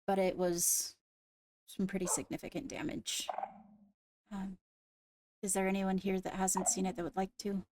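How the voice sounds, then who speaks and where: A woman playing a composed court leader in a Minecraft roleplay, speaking formally and haltingly as she gives a briefing. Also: mid-range voice, completely flat delivery, noisy background, lightly acted. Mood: measured, serious. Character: measured female court leader briefing on attacks. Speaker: roleplayer leading a court meeting.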